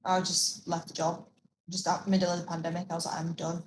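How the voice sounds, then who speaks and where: A woman's voice: lowish voice, roomy sound, noisy background. Outspoken young woman. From Poland. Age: 20s. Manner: outspoken, lively, quick to laugh. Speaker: podcast guest, fitness coach. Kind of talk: casual chat. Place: podcast studio.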